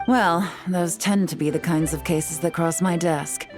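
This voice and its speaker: A woman's voice: on the low side, fairly even intonation, measured pace, over music, natural voice. An actress playing a cool, composed woman investigator in a video game, articulate, wry and sly in a businesslike way. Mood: cool, explanatory. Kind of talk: scripted video game dialogue.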